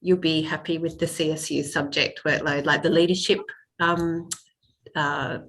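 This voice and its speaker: A woman's voice: deep voice, fairly even intonation, normal speed, a bit bright, a little hiss or hum, rough recording. A woman student chatting in a reassuring way during an online class video call.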